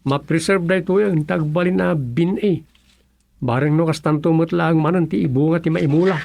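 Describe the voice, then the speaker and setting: A man's voice: fairly high pitch, normal speed, creaky, a little mellow. A Filipino man playing a good-humored village councilor in a radio comedy drama. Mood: amused, easygoing.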